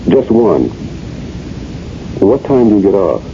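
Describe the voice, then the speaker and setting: Low-voiced, weary police lieutenant. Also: male voice, very animated, takes it slowly, dark tone, exaggerated voice. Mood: terse, probing. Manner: clipped, laconic, world-weary. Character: weary, terse male police lieutenant, evasive husband. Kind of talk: 1970s radio drama acting. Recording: muffled, noisy old radio recording.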